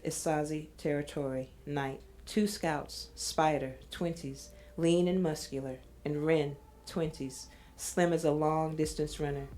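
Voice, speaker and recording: A woman's voice: sits low, mellow. A woman reading scene directions as a scripted narration.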